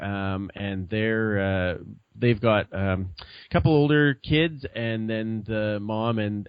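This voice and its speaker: A middle-aged man chatting as a podcast guest, halting with many fillers and restarts. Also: lowish voice, clear, resonant tone, no room sound. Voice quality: loud voice. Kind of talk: casual chat. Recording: dull lo-fi sound.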